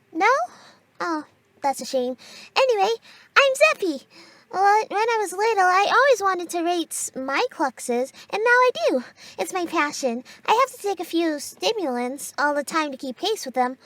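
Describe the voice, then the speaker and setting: A woman's voice: very high voice, sing-song, a bit bright, no echo, exaggerated voice. A woman voicing a hyperactive, chatty, perky young alien on a speed date in an audio roleplay, rambling in a bubbly way. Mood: excited. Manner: bubbly, rapid-fire rambling.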